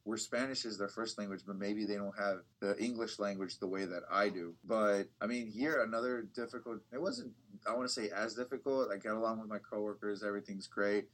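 A young man who works as a bilingual TV reporter, answering interview questions in a relaxed, rambling way with frequent restarts. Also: on the low side, fairly quick, rough recording. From United States. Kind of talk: casual interview talk.

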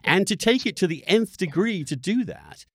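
A man's voice: sits high, lively intonation, normal speed, fairly bright tone, slightly rough, no echo, clean studio sound. A man arguing emphatically in a heated political debate, pressing his points and cutting in. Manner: emphatic, argumentative, interrupting. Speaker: podcast host debating a guest.

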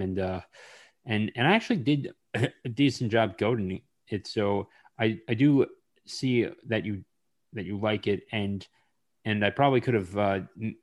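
A man hosting a podcast interview, speaking hesitantly with frequent fillers. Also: fairly low pitch. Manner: hesitant, lots of uhs. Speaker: podcast host. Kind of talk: casual interview.

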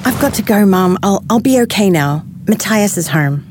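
A woman's voice: medium pitch, animated, normal speed, lightly acted. Actress playing anxious, hesitant pregnant woman, noisy background. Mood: shaky, reassuring. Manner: hesitant, stammering. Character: pregnant woman, anxious, apologetic, downplaying her symptoms. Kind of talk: audio drama acting.

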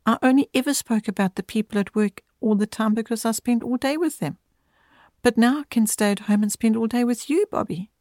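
A woman voicing a loving mother in a storybook reading, speaking softly and tenderly, in a voice raised a little above her own. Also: a little high, lively intonation, dry recording, clean studio sound, pitched above the performer's own voice. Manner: soft, tender, slow.

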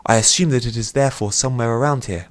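Man voicing a poised, playful young princess, lifted pitch. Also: quick pace, natural voice. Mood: playful, persuasive. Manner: formal, courtly phrasing. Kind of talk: audiobook character voice.

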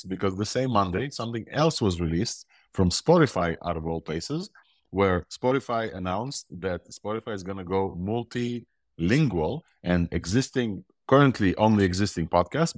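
A low-voiced man with a mixed Russian and Israeli accent hosts a tech talk show. He speaks with eager enthusiasm and rambles through restarts. The recording is close and dry. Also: no room sound. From Ukraine. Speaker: podcast host. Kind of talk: casual tech news talk.

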